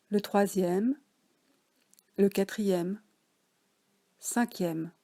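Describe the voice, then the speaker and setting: A French woman guiding a yoga nidra relaxation session, speaking softly with some words whispered. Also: mid-range voice. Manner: slow, soft, partly whispered guidance. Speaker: relaxation guide.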